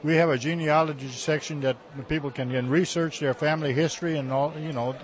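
A man from Texas, a heritage center director answering interview questions in a halting, folksy way, often repeating words. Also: fairly high pitch, steady tone. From Texas, USA.

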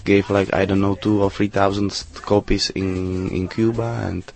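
A man answering interview questions in halting English, full of fillers and restarts. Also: low-pitched, level tone, vocal fry, darkish tone. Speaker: interview guest, DJ.

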